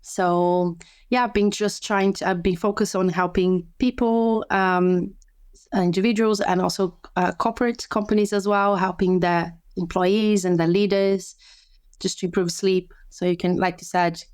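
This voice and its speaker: A woman's voice: medium pitch, steady tone, unhurried, darkish tone, smooth, dry recording. A woman from Brazil, a podcast guest and sleep coach, chatting casually, often restarting her sentences and laughing easily. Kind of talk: casual interview chat.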